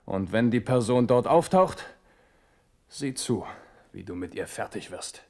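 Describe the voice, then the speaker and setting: An aging French king in a radio drama, low in pitch and commanding. He speaks with regal, sardonic theatricality and a wary edge. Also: animated, unhurried, darkish tone. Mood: furious, commanding. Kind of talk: radio play acting.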